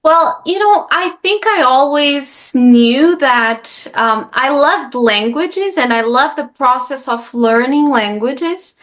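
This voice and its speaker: A woman's voice: a little high, fairly bright tone. A woman from Portugal, a native Portuguese speaker, talking as a guest in a casual interview. She leans on fillers and often tags her sentences with "right?". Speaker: guest, life coach.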